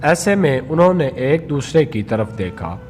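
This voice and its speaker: A man reading a scripted moral story for children as a storyteller, in a measured read-aloud manner. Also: a little high, big pitch swings, a little mellow, soft background music.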